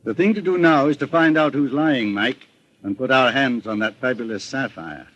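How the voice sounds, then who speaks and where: An actor playing a courteous, authoritative private investigator in a 1940s radio drama, speaking in formal, measured questions. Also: male voice, mid-range voice, animated, fairly bright tone. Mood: firm, resolute. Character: composed, courteous older male private investigator, probing and authoritative. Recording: dull old-time radio broadcast recording.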